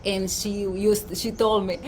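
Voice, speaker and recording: A woman's voice: medium pitch, little pitch movement, slow pace, a little hiss or hum. A middle-aged woman with a Dominican accent, a life coach giving an earnest, emphatic livestream talk outdoors. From Dominican Republic. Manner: warm, earnest, emphatic presenter. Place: outdoors at her home.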